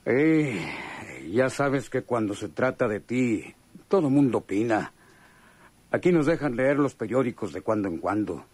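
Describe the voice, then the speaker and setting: A man's voice: medium pitch, expressive, a little slow, darkish tone, husky, dry recording. A Mexican man acting a chummy, sly, hardened convict in a radio drama, talking in slangy, hesitant phrases. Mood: chummy, curious. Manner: slangy, hesitant drawl with knowing chuckles.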